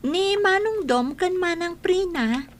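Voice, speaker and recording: A woman's voice: very high voice, lively intonation, slow pace, full character voice. A woman with an Ilocano accent playing a polite, hardworking young student cleaner in a comedy radio drama. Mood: deferential. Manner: respectful, deferential.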